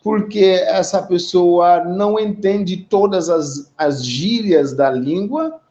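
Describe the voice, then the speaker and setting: Higher-voiced Haitian man, measured and reflective. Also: warm tone, muffled recording. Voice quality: measured, reflective, with frequent pauses and tag questions. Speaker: guest representing an immigrant community. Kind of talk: conversational testimony in a live online discussion.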